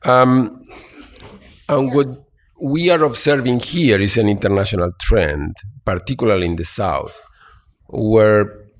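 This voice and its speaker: Panelist, man from Argentina, very low voice, hesitant with restarts. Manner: halting, many fillers and restarts. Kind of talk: panel talk. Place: conference hall.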